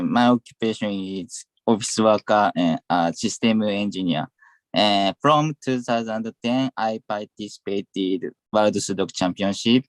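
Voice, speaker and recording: A man in his thirties with a Japanese accent speaks slowly, pausing often with hesitant fillers. His voice is low, and the sound is close and dry. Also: no echo. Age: 30s. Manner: hesitant, with frequent uh. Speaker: panelist. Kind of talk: self-introduction on a panel. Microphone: video call.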